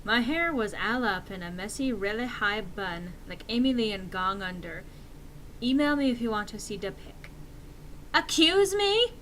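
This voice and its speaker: A woman's voice: medium pitch, moderate pace, a little hiss or hum, rough recording. A woman giving an exaggerated dramatic reading of fan fiction in a theatrical manner. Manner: theatrical, swinging into shouts for quotes.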